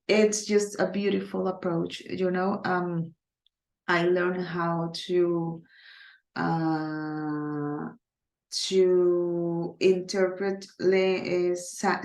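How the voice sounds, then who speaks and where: A woman's voice: lowish voice, darkish tone, echoey room. A woman from Colombia, a native Spanish speaker with a Colombian accent, teaching a recorded Spanish class in English with many hesitations. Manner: hesitant, many fillers, speaks slowly on purpose. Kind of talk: recorded online class, casual.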